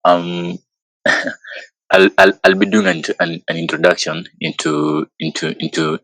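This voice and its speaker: A man's voice: low-pitched, steady tone, measured pace, fairly bright tone, dry recording, low-quality recording. A man from Kenya announcing his culture session on a group call, hesitant and repeating words again and again. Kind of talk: casual group call chat.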